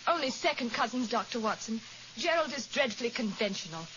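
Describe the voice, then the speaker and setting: A woman plays a frank, tender-hearted young lady in a vintage radio mystery. Her genteel voice sits fairly high, on a dull recording with clear noise. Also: expressive, fairly quick, lightly acted. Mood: frank, resentful. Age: young adult. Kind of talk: 1940s radio drama acting.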